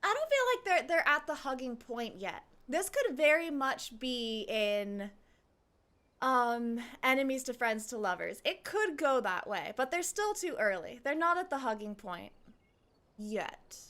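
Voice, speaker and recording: A woman's voice: high voice, big pitch swings, a little slow, sharp tone. A woman streamer giving casual, playful live commentary on a game, reacting and teasing as she goes.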